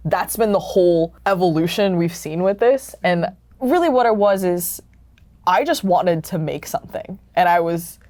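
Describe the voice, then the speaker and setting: A woman with a slightly low voice talks as a creator in a casual interview. She is expressive and conversational, often dropping "like" into her sentences. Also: normal speed. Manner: animated retelling with acted-out quotes, frequent "like". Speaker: creator of an audio drama.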